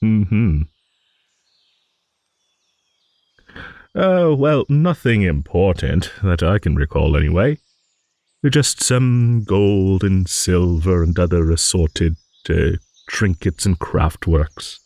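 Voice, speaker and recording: A man playing a gentle, courteous old dragon who rambles hesitantly in an improvised fantasy audio roleplay. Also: low-pitched, lively intonation, vocal fry, dry recording, clean studio sound, heavily acted. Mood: musing, wistful. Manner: rambling, hesitant, genteel, with musing hums and pauses.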